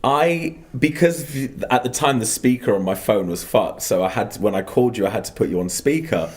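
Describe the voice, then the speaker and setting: Easygoing English man, mid-pitched. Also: fairly quick, a little mellow. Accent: English with some Australian. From England. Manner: laid-back, joking, storytelling. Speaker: podcast co-host. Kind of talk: casual chat.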